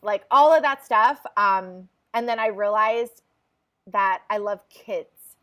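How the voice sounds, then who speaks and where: A woman's voice: mid-range voice, slow pace, fairly bright tone. A woman in her forties, a podcast guest, telling her story in a chatty way with frequent laughs. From New Jersey. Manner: chatty, laughs often, restarts phrases. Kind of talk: casual interview chat.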